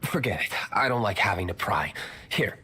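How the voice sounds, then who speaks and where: Low, brooding, guarded game gentleman. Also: expressive, moderate pace, husky, exaggerated voice. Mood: guarded, businesslike. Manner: curt, reserved, dry. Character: brooding, curt, guarded young gentleman ally. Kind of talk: video game voice acting. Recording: music behind the voice.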